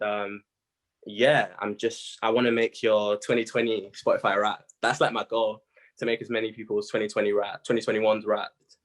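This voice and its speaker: A man's voice: fairly low pitch, a bit bright. A young man with a British accent, chatting casually as a musician being interviewed, laid-back and full of slang.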